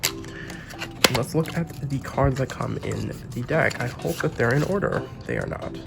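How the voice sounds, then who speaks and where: A man who is sick with a sore throat, his voice gravelly and rough. He talks in a casual, chatty way and gushes over the packaging as he walks through the cards. Music plays clearly underneath him. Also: medium pitch, measured pace. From Canada. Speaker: trading card game YouTuber. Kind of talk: casual unboxing and deck review.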